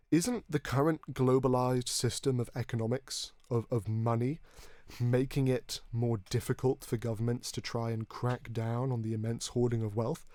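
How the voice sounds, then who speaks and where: Male podcast host, from Hong Kong, mid-pitched, earnest and wordy, stumbles over words, close dry sound. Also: a little mellow, no room sound. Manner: earnest, long-winded, halting with repeated words. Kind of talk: conversational political discussion.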